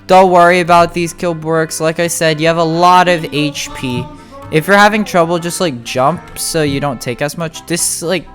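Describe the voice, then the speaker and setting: A gamer giving a casual, chatty video game walkthrough, talking informally and straight to viewers. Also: medium pitch. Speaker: gamer making a tutorial. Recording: music playing behind the voice. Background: music.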